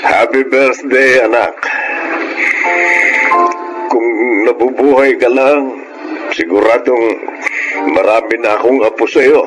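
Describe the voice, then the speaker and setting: A man's voice: mid-range voice, slow pace, pauses often, close to an everyday voice, low-quality recording. An actor playing a devoted older husband and grieving father in a radio drama, with heartfelt delivery. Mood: wistful. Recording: radio drama under loud music.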